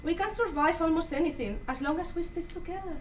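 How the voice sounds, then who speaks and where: A woman's voice: very high-pitched, fast talker, darkish tone. A woman acting a mischievous, sociable old Cuban mother in a staged play reading. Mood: playful. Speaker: student actor in a dramatic reading. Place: echoey room at a live performance. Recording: roomy, distant mic, faint noise.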